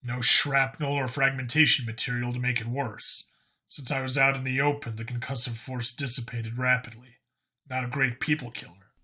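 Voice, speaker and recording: A plainspoken, curious human cop from a sci-fi audiobook, played by a man. He talks casually and bluntly, a bit hesitant at times, in a middle-pitched, bright voice. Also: level tone, moderate pace. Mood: reflective. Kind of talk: audiobook character acting.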